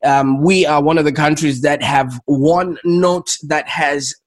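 A man's voice: fairly high pitch, steady tone, measured pace. A man with a Zimbabwean accent, telling his own story conversationally as an interview guest. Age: adult, 28 or older. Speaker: interview guest, entrepreneur and coach.

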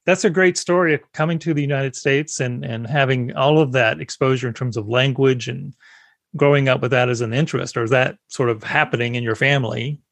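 A man's voice: mid-range voice, fairly quick, creaky, a bit bright, no room sound. A man hosting an interview, friendly and affirming, often prompting with short murmured agreement. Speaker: interviewer, host. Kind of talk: casual conversational interview.

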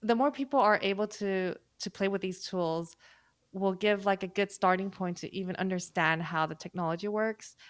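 A woman musician speaking as a guest in a casual panel talk, thoughtful and halting, with many fillers and restarts. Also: medium pitch, no echo.

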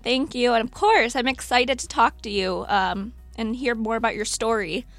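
A woman's voice: a little high, moderate pace, a bit bright, no echo, faint music behind. A woman hosting a casual podcast interview, asking questions in a friendly, chatty way with many fillers. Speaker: podcast host.

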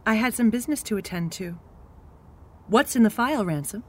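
An actress in an audio drama playing a poised, condescending innkeeper with honeyed politeness and a controlled undercurrent of menace. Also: female voice, mid-range voice, lively intonation, a little fast, breathy edge. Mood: cool, suspicious. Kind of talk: naturalistic audio drama acting.

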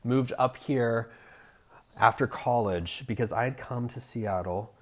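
A man's voice: mid-range voice, measured pace. A man from the southern US, a chatty guest who jokes in casual talk. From southern USA. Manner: joking, chatty. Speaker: guest, real estate agent. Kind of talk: casual chat.

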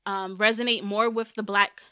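A woman's voice: medium pitch, flat delivery, normal speed, bright, forceful tone, smooth, no room sound, studio quality. A young adult woman hosting a podcast, talking bluntly and emphatically in casual chat, swearing freely. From Dominican and Puerto Rican family, raised in the US. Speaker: podcast host.

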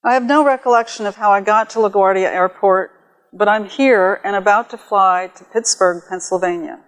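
A woman's voice: mid-range voice, normal speed, rough recording. Woman reading her story aloud on stage.

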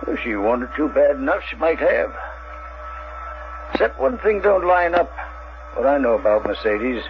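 An actor playing a plainspoken small-town police chief with a rural American accent and a hesitant, folksy way of talking, in a radio drama. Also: male voice, mid-range voice, big pitch swings, soft background music. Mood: musing, plainspoken. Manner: folksy, slow, hesitant drawl. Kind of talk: 1970s radio drama acting. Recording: noisy, muffled old radio recording.

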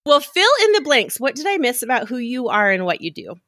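A woman's voice: fairly high pitch, big pitch swings, fairly quick, fairly bright tone, no echo, clean studio sound. A woman hosting a podcast, moving between a prepared introduction and casual interview chat. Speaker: podcast host.

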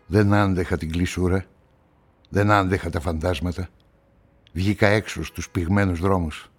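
An actor as a deep-voiced, brooding male narrator. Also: close to an everyday voice. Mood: brooding, subdued. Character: brooding, solitary male newspaperman narrating a murder story. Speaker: narrator and protagonist of a radio play. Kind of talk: literary first-person radio drama narration.

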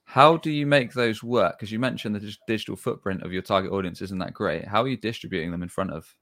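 A male interviewer with a very low voice keeps the conversation moving with short affirmations. He sums up the guest's points and asks brisk follow-up questions. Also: fairly bright tone. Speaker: podcast host.

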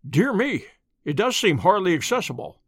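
Guy reading a polite calm detective, dry wit, audiobook mystery, voice a bit high and very varied. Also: gravelly, no room sound, heavily acted. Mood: wry, thoughtful. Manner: measured, polite, understated. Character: calm, courteous gentleman detective, dryly amused.

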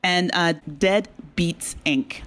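A woman with a slightly low voice speaks as a guest in a casual interview. She is chatty and appreciative, with an easy laugh. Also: slow pace, fairly bright tone. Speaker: interview guest, audio drama creator.

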